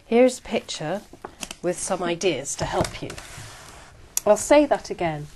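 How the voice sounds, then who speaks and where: A woman examiner giving clear, measured, polite instructions in a speaking exam. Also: on the low side, expressive, a little mellow, a little hiss or hum, rough recording. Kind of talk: scripted exam prompts.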